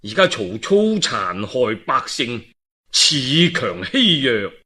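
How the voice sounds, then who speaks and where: A male storyteller puts on an earnest nobleman in a Cantonese historical tale. He is grave, formal and insistent, speaking in a dropped, slightly husky voice as he appeals to duty. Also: medium pitch, sing-song, takes it slowly, sharp tone, voice pushed down, full character voice. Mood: urgent, grave.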